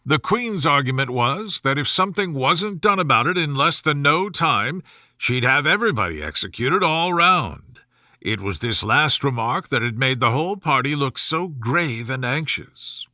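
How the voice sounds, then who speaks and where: Animated man narrating a bedtime story. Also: mid-range voice, no room sound, studio quality.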